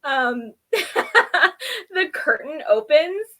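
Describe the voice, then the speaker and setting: A woman giving a casual, chatty episode recap as a show co-host, giggly and animated, acting out imagined reactions. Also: high voice, very slow.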